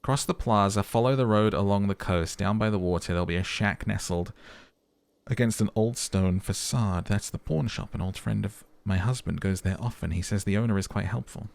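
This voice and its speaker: A man's voice: fairly low pitch, a little fast, vocal fry, no room sound. A man voicing a kindly, motherly old lady in a video game. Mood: helpful. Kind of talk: role-played video game dialogue.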